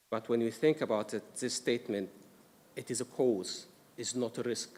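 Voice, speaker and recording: A man's voice: on the low side, darkish tone. A man from Egypt with an Egyptian accent, presenting a risk management workshop to a council, explaining carefully with frequent "uh" fillers. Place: council meeting room. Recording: distant mic in a reverberant room with noise.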